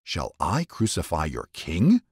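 A man voicing a troubled, weary but commanding Roman governor with deliberate, dramatic delivery in a scripture reading. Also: sits low, wildly swooping pitch, husky, no echo, studio quality, full character voice. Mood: grave.

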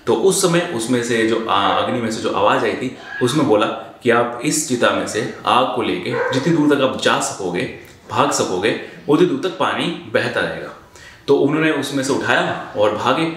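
A man's voice: mid-range voice, fairly bright tone. Young man vlogger in a very echoey room. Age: 20s. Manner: halting, searching for words, mixing in English words. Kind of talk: explaining to camera, informal storytelling. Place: small bare indoor room. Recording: very echoey room, faint background noise.

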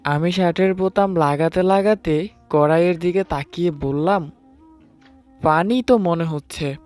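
A man's voice: fairly high pitch, a little slow, a little mellow. A man narrating a dramatized first-person love story and voicing its affectionate young husband. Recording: music under the voice.

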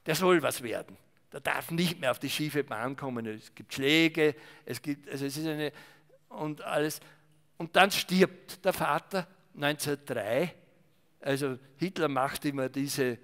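A man's voice: mid-range voice, fairly bright tone. Older man lecturing in a hall. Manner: rambling, many fillers and restarts. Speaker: historian giving a talk. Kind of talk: discursive public talk with slides. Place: salon event room with small audience. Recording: reverberant hall.

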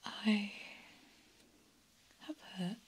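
Young woman, breathy ASMR whisper, very slow, genteel sinister vampire countess, clear background noise. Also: mid-range voice, steady tone. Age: young adult. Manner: slow, hushed, deliberate, with long pauses. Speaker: ASMR performer. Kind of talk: ASMR roleplay.

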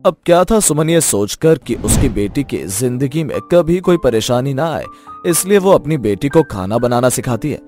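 A man's voice: medium pitch, lively intonation, normal speed, mellow, faint music behind. A man giving scripted narration of a moral story.

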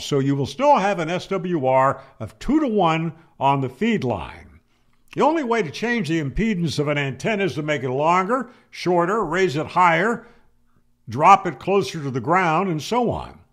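Older man teaching, animated but unhurried. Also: on the high side. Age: older, 60s or 70s. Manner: emphatic, plainspoken, poses and answers his own questions. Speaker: amateur radio instructor, host. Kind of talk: explanatory tutorial to camera. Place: home room, indoors. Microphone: studio microphone on boom arm, close.

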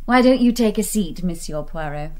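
A woman's voice: on the low side, animated, normal speed, natural voice. An actress playing a spoiled, brazen young English society woman in a radio play, with a British accent and a cool, clipped, imperious manner. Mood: cool, haughty.

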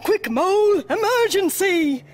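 A big, bossy storybook bear, voiced by a woman, calling out loudly in a raised, high pitch. The delivery is urgent and swooping. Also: lively intonation, unhurried. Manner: loud, urgent, exclamatory.

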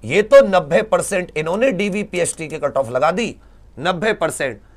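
A man's voice: sits high, animated, moderate pace. A man, lecturing informally as a coaching teacher, exasperated and repeating his points with rhetorical questions. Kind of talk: informal lecture explaining exam cut-offs to students. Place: indoor teaching studio at a large digital board. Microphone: lapel mic.

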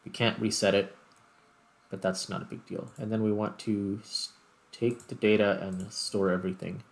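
A man's voice: lowish voice, little pitch movement, normal speed, creaky. A man giving casual gameplay commentary, thinking aloud as he plays. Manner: thinks aloud while playing. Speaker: gaming video host.

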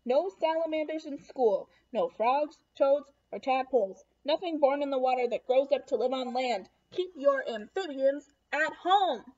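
A woman's voice: sits high, lively intonation, moderate pace, few pauses, darkish tone, dull audio. A woman reading aloud as a strict male schoolteacher in a picture book, emphatically declaring rules. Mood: stern, emphatic.

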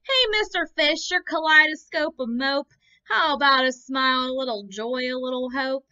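A woman's voice: high voice, moderate pace, pushed and bright, clear, resonant tone, dull audio, pitched above the performer's own voice. A woman doing a squirmy, impolite squid. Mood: teasing. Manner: pert, teasing. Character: squirmy, slightly rude female squid.

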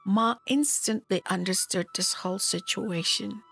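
A woman narrates a memoir with slow, deliberate delivery. Her voice has a gravelly fry at the edges, and she keeps a solemn tone over a musical backing. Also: medium pitch, fairly bright tone. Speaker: spiritual teacher, narrator. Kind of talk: scripted memoir narration, read aloud. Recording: music behind the voice.

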